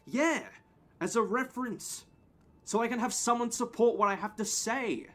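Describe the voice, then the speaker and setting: A man's voice: very high-pitched, normal speed, a bit bright, voice pushed up. A man playing an anxious, unsure young security guard in an audio play, hesitant and defensive. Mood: pleading.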